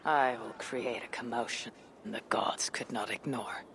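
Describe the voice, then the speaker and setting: Gravelly, husky Viking warrior woman. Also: a bass voice, fairly even intonation, unhurried, a bit bright, heavily acted. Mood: stealthy, low. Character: tough, proud Viking woman warrior, loyal to her clan. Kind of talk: video game cutscene acting. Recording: noisy outdoor game ambience.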